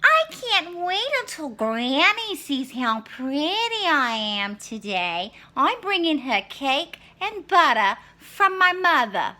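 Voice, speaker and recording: Eitles Rotkäppchen mit sehr hoher Stimme. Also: female voice, high-pitched, big pitch swings, sharp tone, voice pushed up, heavily acted. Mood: vain, excited. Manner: gushing, singsong, drawn-out. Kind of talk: storybook character voice.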